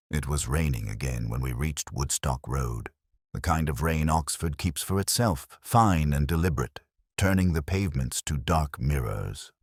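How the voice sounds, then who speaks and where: Very deep male detective story narrator. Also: slightly rough, no room sound, clean studio sound. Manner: measured, literary, formal delivery. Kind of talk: scripted first-person detective story narration.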